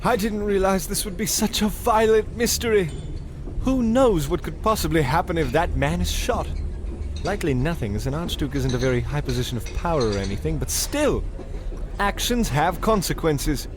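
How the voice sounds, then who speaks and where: A man playing a pompous, deadpan famous detective in an old-time radio comedy. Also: a little high, normal speed, background noise, natural voice. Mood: grave, dramatic. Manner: pompous, deadpan, literal-minded.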